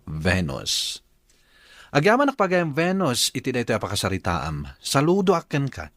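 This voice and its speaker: A man's voice: medium pitch, no room sound. A man with a Filipino accent hosting a radio drama, narrating and reading letters from a script.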